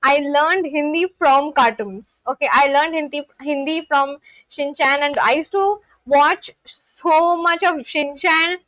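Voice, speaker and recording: A young woman from Kolkata with an Indian English accent and a fairly high voice chats casually on her livestream. She is self-deprecating and full of fillers. The sound is dry and close with no room around it. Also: unhurried, fairly bright tone, dry recording, rough recording. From Kolkata, West Bengal, India. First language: Bengali. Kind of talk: casual livestream chat, reading comments aloud.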